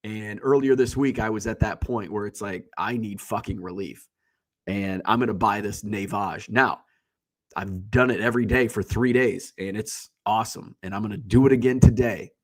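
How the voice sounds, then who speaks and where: A man's voice: lowish voice, normal speed. A congested man hosting a livestream, talking in a casual, rambling, blunt way and swearing freely. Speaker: livestream host. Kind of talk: casual solo chat.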